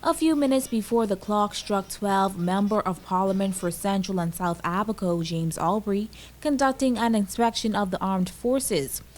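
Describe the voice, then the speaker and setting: A woman's voice: mid-range voice, moderate pace, hardly any pauses, darkish tone. A woman news reporter with a Bahamian accent, reading a scripted voice-over.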